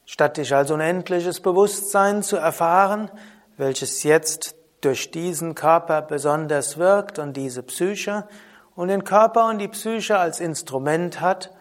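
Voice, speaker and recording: A man teaching a spoken lesson on yoga philosophy in a calm, measured way, often asking a soft "hm?". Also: a little high. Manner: calm, measured, with frequent soft trailing drops and questioning "hm?". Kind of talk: spoken lesson on Vedanta terms.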